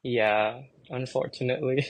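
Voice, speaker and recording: A young man from the Philippines, a podcast guest talking about his birds in a relaxed, rambling way with lots of fillers. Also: medium pitch, normal speed, rough recording. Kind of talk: casual chat.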